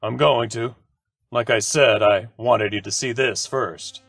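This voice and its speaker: A man's voice: mid-range voice, steady tone, a little slow, gravelly, a bit bright, faint music behind. A man playing a wry police lieutenant in an old-time radio drama, talking matter-of-factly. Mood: businesslike. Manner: matter-of-fact. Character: brisk, wry male police lieutenant, old pal of the detective. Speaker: supporting actor.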